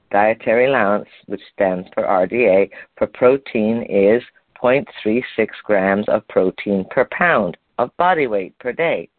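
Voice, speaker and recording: Older guy from canada rambling through a health article, trips on words, lowish voice, phone-line sound. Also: no echo. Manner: rambling, stumbling over words while reading aloud. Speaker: program host. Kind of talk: talk reading from a health article.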